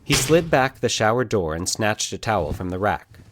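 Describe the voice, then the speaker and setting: A man's voice: fairly low pitch, sing-song, normal speed, noisy background. A man narrating scripted dramatic fiction as the storyteller and host of a podcast.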